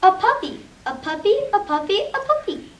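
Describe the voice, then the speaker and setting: A young girl playing an excitable, stubborn, pleading pigeon in a school reader's theater. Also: extremely high pitch, normal speed. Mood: eager. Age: child. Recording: roomy, distant mic with some noise.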